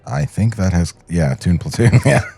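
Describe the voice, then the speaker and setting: A man's voice: deep voice, expressive, moderate pace, gravelly, mellow, slightly rough, soft background music. A man co-hosting a podcast and casually heckling in a comic way. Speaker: podcast co-host.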